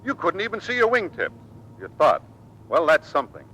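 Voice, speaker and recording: An actor playing a stern but fair Navy squadron commander, clipped and authoritative, in old radio drama. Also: male voice, on the high side, wildly swooping pitch, fairly quick, bright, forceful tone, breathy edge, exaggerated voice. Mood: stern, scolding. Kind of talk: 1940s radio acting. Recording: faintly noisy vintage radio recording.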